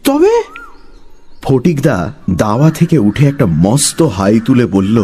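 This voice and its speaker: A man's voice: mid-range voice, expressive, a little slow, mellow, a little hiss or hum. A man narrating a scripted story in a dramatic storytelling style.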